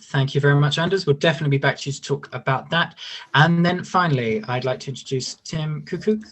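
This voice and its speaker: Brief: fast-talking man, a little high, moderating a video call. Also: a bit bright. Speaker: webinar moderator. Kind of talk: hosting a webinar.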